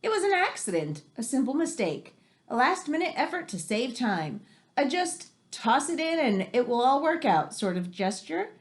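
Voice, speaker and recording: A woman reads aloud for children in a fairly high voice with lots of melodic movement. She is animated and chatty, slipping from the text into casual, exclamatory asides. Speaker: reader reading a nonfiction book aloud for children.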